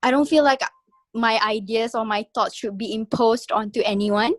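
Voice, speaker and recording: Woman, 30s, from Singapore, casual video-call talker, higher voice, no room sound. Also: normal speed, no room sound. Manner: chatty, laughs often, many fillers. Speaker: guest newsletter writer.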